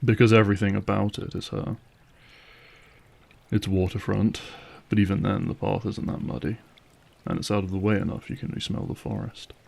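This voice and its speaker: A man plays a guarded monster hunter in a fantasy roleplay. His voice is fairly low with a heavy creak. He speaks in a terse, dry manner. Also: moderate pace, a little mellow. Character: wry, guarded male vampire hunter, gruff protective ally. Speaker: voice actor playing a vampire hunter. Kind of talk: fantasy audio roleplay acting.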